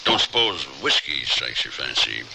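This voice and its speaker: An actor playing a rough, wry mountain man, rustic and blunt, in an old radio drama. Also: extremely low pitch, big pitch swings, moderate pace, pushed and bright, exaggerated voice. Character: rough, wry frontier mountain man, whiskey-drinking outlaw. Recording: noisy vintage radio drama recording.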